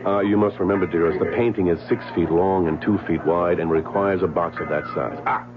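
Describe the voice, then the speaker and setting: An actor playing a talkative, curious gentleman husband in a vintage radio drama, hearty and eager, stammering when flustered. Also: low voice, fairly even intonation, brisk, a crowd in the background, close to an everyday voice. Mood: eager, jovial. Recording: tinny vintage radio recording, music behind.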